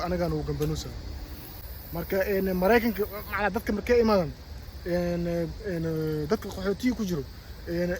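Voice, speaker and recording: Somali man, high voice, quick halting vlog talk, Somali accent, faint background noise. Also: rough recording. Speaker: vlogger.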